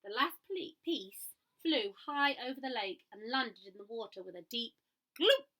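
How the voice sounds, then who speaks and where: A woman reads a children's picture book aloud with a lively, sing-song lilt. Her voice sits slightly high, and she draws young listeners in by asking them questions along the way. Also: unhurried. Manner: expressive, asks listeners questions. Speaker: storyteller.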